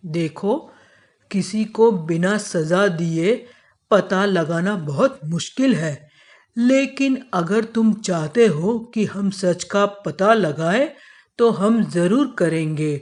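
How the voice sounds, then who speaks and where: A man voices a fair-minded, authoritative emperor for a children's moral cartoon. The delivery is slow and stately. Every phrase is measured, as befits a ruler. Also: high-pitched, darkish tone. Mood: stately, questioning.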